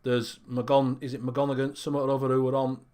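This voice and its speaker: A man's voice: mid-range voice, flat delivery, fast talker, darkish tone. A man hosting a casual online chat show, easygoing and talkative, on a headset. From Northern England. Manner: chatty, easygoing. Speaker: host.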